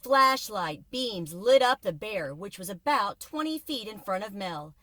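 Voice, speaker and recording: A woman reading a children's book aloud from inside a car, with expressive, suspenseful dramatic narration like a teacher. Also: medium pitch, a little slow, no echo. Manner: expressive, suspenseful storytelling with varied volume.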